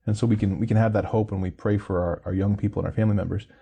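Low husky male interview host. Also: steady tone, rapid-fire, dark tone. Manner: reflective, many fillers and tag questions. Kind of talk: conversational interview.

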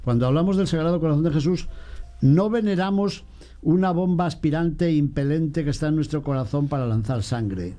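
A priest, chatting about faith in a lively way and mimicking other people's voices. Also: male voice, a little high, a little fast. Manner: animated, mimics voices in quotes. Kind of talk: casual chat on faith.

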